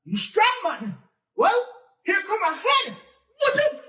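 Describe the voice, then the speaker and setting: A storyteller voicing a cocky, lazy male trickster with a Caribbean English accent, in a high squeaky voice. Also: wildly swooping pitch, slow, pushed and bright, full character voice. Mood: indignant. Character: boastful lazy male trickster, Bro Nancy. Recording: echoey room, distant mic.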